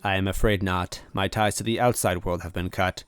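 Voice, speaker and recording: Man voicing a low, lonely, formal computer. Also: fairly even intonation, fairly quick, a bit bright, clean tone. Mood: formal, resigned. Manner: formal, stilted, precise wording. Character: lonely, apologetic obsolete supercomputer, formal and polite.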